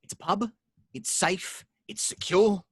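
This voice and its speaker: A man's voice: sits high, measured pace, breathy edge, no echo. A man playing a flustered, well-meaning young man trying to lead, in an amateur table read. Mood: insistent.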